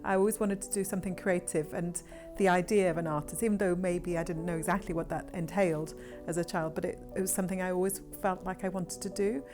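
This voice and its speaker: A Welsh woman, a textile artist, talking thoughtfully and earnestly in a documentary interview, with pauses and restarts. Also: on the low side, steady tone, music in the background. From Wales.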